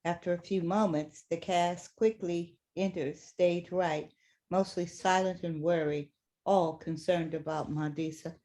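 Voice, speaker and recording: A woman's voice: on the low side, fairly even intonation, measured pace, dark tone. A woman reading stage directions as scripted narration for a staged play reading.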